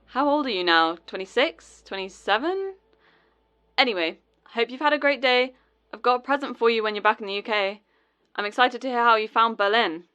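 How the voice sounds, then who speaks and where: A breezy young sister in an audio drama. Her voice is fairly high, bright and very clear. She chats in an offhand, chirpy way, like a casual phone message. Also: animated, fairly quick, lo-fi sound. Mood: breezy, cheerful.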